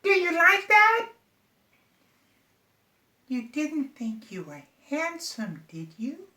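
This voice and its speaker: A woman's voice: high-pitched, wildly swooping pitch, slow, sharp tone, heavily acted. A woman voicing a miserable, petulant little girl, prone to tears, in a children's bedtime story. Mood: pouty. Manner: petulant, tearful. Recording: echoey room, off the mic.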